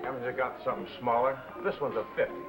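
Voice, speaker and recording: A man's voice: mid-range voice, very fast, natural voice, poor audio. An actor playing a patient, wry barman in a 1950s crime film. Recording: old film soundtrack with music behind.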